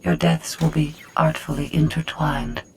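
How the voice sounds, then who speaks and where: An actor voicing a cold, sadistic artificial intelligence in a video game, speaking in measured, formal menace. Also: male voice, fairly high pitch, flat delivery, low-quality recording.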